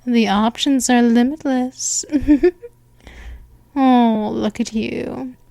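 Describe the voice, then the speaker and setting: A woman playing a possessive female vampire mistress, speaking softly and hypnotically with drifting whispers, close to the mic. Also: on the high side, fairly even intonation, extremely slow, vocal fry, no echo, full character voice. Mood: seductive, possessive. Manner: coaxing, repetitive, counts down. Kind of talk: ASMR hypnosis roleplay.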